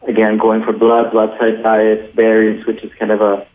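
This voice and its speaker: A man from Colombia, a documentary filmmaker, answering interview questions in an earnest, halting way. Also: on the low side, monotone, phone-quality sound.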